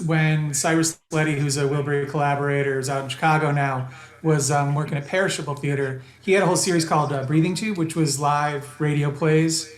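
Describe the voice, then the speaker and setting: A man's voice: a little high, steady tone, a little fast, hardly any pauses, echoey room. A man chatting casually as an interview guest, a playwright, over a video call. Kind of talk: casual chat.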